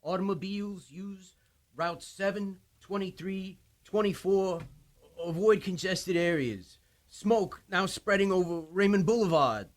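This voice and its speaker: An actor playing an urgent emergency announcer warning the public in a 1930s-style radio drama. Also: male voice, sits high, measured pace.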